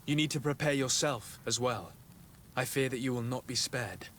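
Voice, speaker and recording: Earnest male noble played by an actor, courteous and measured, game cutscene, ambient noise behind. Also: medium pitch, fairly bright tone, lightly acted. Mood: formal, concerned. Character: earnest, courteous young noble diplomat, burdened by family betrayal. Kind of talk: video game cutscene dialogue acting.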